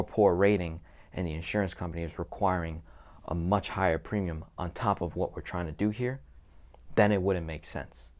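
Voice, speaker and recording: A young man teaching personal finance at a whiteboard indoors, talking directly and punching the key numbers with emphasis. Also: low voice. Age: young adult. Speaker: financial educator.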